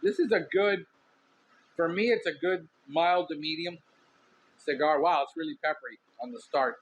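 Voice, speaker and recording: A man's voice: on the high side, moderate pace, slightly rough. A middle-aged man from Miami with a Cuban Miami accent, rambling candidly as a podcast host in a casual monologue. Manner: rambling, candid, lots of ums.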